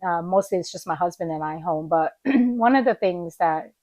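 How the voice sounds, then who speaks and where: A woman's voice: on the low side, expressive, normal speed, a little mellow, no room sound, rough recording. A woman in her forties vlogging from her small living room with a laptop camera, chatting in a rambling way with fillers as her voice comes back after illness. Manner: rambling, many fillers and restarts. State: recovering voice, coughing. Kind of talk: unscripted morning vlog chat.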